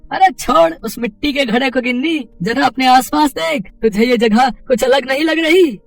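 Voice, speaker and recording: A man's voice: very high voice, normal speed, vocal fry, no room sound, distant mic, soft background music. A man voicing a poor, simple village husband, an eager and stubborn dreamer who stammers and complains, in a moral story. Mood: excited, content.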